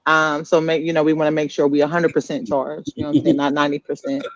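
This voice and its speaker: A man's voice: fairly high pitch, flat delivery, fast, no room sound. A man co-hosting a podcast in casual, blunt, informal talk with some swearing, on a dull lo-fi recording. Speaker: podcast co-host. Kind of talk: casual live podcast chat. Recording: dry, dull lo-fi podcast audio.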